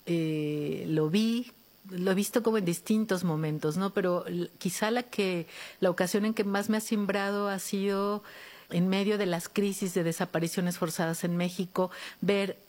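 A Mexican woman author in an interview, speaking reflectively with pauses and restarts, recorded in a museum. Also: on the low side, fairly even intonation, darkish tone. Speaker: author guest. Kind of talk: thoughtful interview conversation. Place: art museum in Madrid.

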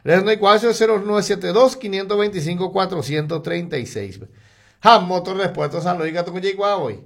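Gravelly Paraguayan radio announcer, high-pitched man. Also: lively intonation. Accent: Paraguayan Spanish, mixing in Guarani. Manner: rapid, sing-song pitch and loudness swings, listing items. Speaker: radio announcer reading local ads. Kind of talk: live radio ad reading.

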